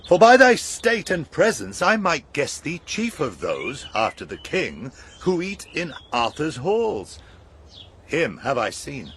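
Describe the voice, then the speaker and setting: An actor playing a proud, hearty old lord and father in a verse radio drama, husky and declamatory as he hosts his guest. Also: fairly high pitch, wildly swooping pitch, slow pace, a bit bright, slight background noise, exaggerated voice. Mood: courteous curiosity.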